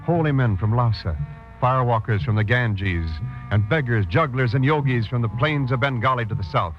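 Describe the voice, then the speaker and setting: A man's voice: mid-range voice, animated, normal speed. A man announcing and narrating a 1940s radio drama in a declamatory, portentous style. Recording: dull vintage radio recording. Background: loud music.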